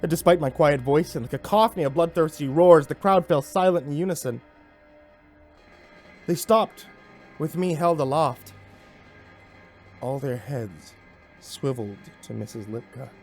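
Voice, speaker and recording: A male horror narrator reads a first-person story with theatrical intensity. His voice sits fairly high for a man, and music plays beneath it. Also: measured pace, pauses often, a little mellow.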